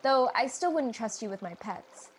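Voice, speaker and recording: A woman's voice: on the high side, animated, normal speed, a little hiss or hum, lightly acted. A woman playing a frank, wry teenage girl in a comedy radio play, talking in a confiding monologue.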